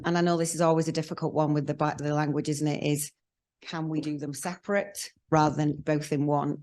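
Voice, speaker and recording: A woman's voice: sits low, a little mellow. A woman taking part in a workshop, giving frank feedback with some hesitant fillers. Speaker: workshop participant. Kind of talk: group discussion feedback.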